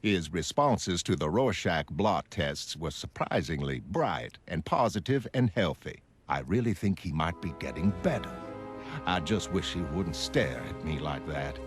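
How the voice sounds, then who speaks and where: A man playing an earnest, self-assured psychoanalyst in a motion comic, speaking in a measured, clinical way. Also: low-pitched, lively intonation, over music. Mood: clinical, optimistic. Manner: measured, clinical, gently coaxing with patient. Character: earnest, self-assured male psychoanalyst dictating case notes. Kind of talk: scripted dramatic narration of a motion comic.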